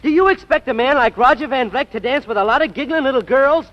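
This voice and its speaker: An actor playing an excitable, status-conscious young college man, boyish and easily flustered, in 1940s radio style. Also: very high-pitched, fast. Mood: exasperated. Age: young adult. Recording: dull old radio broadcast recording.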